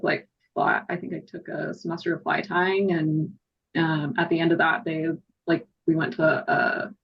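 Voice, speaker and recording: A woman's voice: lowish voice, vocal fry, slightly rough, dull audio. A woman in her thirties from Utah chatting casually as a podcast guest, in piecemeal phrases peppered with "like". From southern Utah, United States. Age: 30s. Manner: hesitant, piecemeal phrasing with constant "like". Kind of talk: casual chat.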